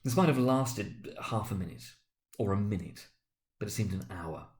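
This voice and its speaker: Male reader with a lowish voice, dramatic storybook delivery that rises for emphasis and drops to a hush. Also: normal speed. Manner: expressive, swinging between loud emphasis and hushed low passages. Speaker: school director reading aloud. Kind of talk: dramatic reading of a classic novel.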